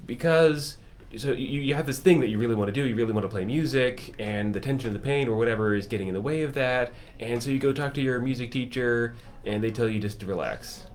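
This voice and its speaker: A man hosting a casual chat, enthusiastically pitching a course and piling up lists and vivid comparisons. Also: fast talker, few pauses, a little hiss or hum. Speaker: music teacher and course host.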